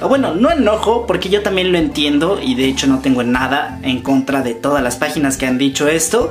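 Young Mexican man vlogging over music. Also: a little high. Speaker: YouTuber. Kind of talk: casual fan commentary, reading comments aloud. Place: small room indoors. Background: background music.

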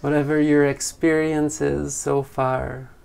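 Guy leading a guided meditation, gentle and unhurried, lots of fry in the voice, pretty flat tone. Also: a little high. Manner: gentle, unhurried, with long pauses. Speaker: meditation teacher.

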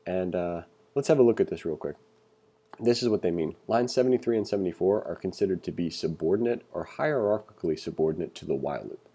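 Guy walking thru code casually, really mellow dark low voice, kinda thinking out loud. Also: lively intonation, fast talker, husky. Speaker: coding instructor. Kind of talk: informal tutorial read-along narration.

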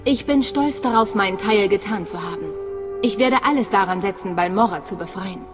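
Frau spielt kühle, selbstsichere Agentin. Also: female voice, medium pitch, fairly quick, natural voice. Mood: determined. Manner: self-assured, clipped. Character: cool, confident female undercover imperial agent. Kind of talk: dramatic video game dialogue in German. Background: background music.

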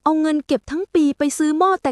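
Woman acting elderly village woman, high pitch, shaky, bitter scolding, dry storybook recording. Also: steady tone, quick pace, dry recording, natural voice. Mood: bitter, accusing. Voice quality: quavering.

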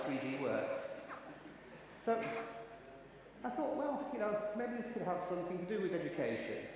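Man in his fifties giving a keynote in an echoey hall. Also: medium pitch. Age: 50s. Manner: chatty, wry, anecdotal. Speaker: keynote speaker. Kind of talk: conference keynote talk. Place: large conference hall. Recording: echoey hall, faint ambient noise.

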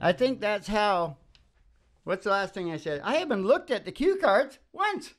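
A man's voice: high voice, expressive, moderate pace. A middle-aged man chatting casually and opinionatedly as a musician hosting a live show, often checking in with "right?". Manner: opinionated, folksy, repeatedly asks "right?". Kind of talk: rambling casual chat between songs. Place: small dim room, live performance. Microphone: stand microphone close to mouth. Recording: acoustic guitar playing around the voice. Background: acoustic guitar music.

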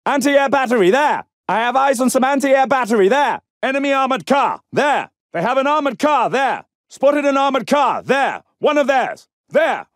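Video game role: WWII soldier, very high-pitched, clipped and commanding, dry close recording. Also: male voice, sing-song, normal speed, few pauses, dry recording. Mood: firm, alert. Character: commanding male World War II British soldier pilot. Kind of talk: military battle callouts.

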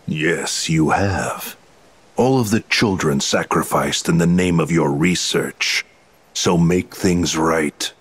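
Commanding game villain, very low pitch, unhurried speech, faint music. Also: male voice, breathy edge, exaggerated voice. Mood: cold menace. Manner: menacing, measured, accusing. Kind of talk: video game cutscene voice acting.

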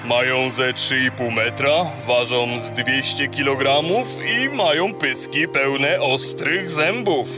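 An actor playing a gruff, boastful sea god who guides and teases like a showman in a children's audio drama. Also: medium pitch, slow pace, hardly any pauses, over music, lightly acted. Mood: grandly informative.

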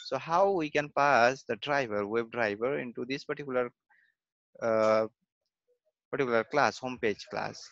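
Halting man teaching code with fillers. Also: mid-range voice, gravelly, a bit bright, dry recording, muffled recording. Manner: hesitant, many fillers and repeated "okay, fine". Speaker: software testing instructor. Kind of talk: screen-recorded coding lesson.

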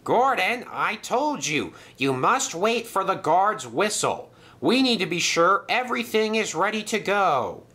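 A man's voice: medium pitch, sing-song, slow pace, hardly any pauses, pushed and bright, heavily acted. A man playing a fussy, earnest station official, insistent about the rules, in a children's story. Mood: scolding.

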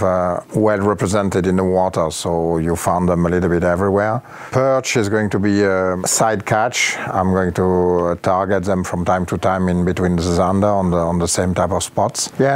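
A middle-aged man with a French accent and a very low, deep voice answers interview questions outdoors. He talks haltingly, stopping on fillers as he searches for words. A small lapel mic picks him up on a patio. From France. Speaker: competitor.

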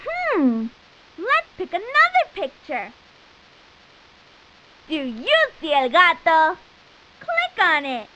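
A woman playing a cheerful, encouraging little girl cartoon explorer, enunciating clearly as she gives enthusiastic instructions in a children's educational game. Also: very high voice, wildly swooping pitch, takes it slowly, pauses often, bright, forceful tone, slight background noise, exaggerated voice, poor audio. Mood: encouraging, instructive.

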